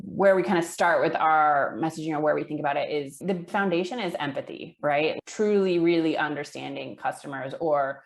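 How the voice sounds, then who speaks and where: A woman in her thirties with a low-pitched voice talks as an interview guest. She explains her work conversationally, dropping in small check-ins, from a video call on earbuds. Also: moderate pace, vocal fry, hardly any pauses. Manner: explanatory, checks in with "right" and "you know". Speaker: interview guest, marketing manager. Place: indoor room at home, video call. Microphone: wired earbuds on a video call.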